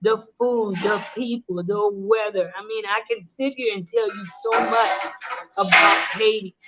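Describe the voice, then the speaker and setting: A woman's voice: medium pitch, steady tone, unhurried, dull audio, loud music behind the voice. A middle-aged woman hosting a casual live-stream chat, talking earnestly and switching between English and Creole. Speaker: host.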